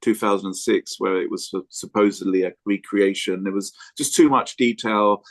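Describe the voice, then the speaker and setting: A man from England introducing himself as a guest speaker on a video call, hesitant with many pauses. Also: on the low side, steady tone.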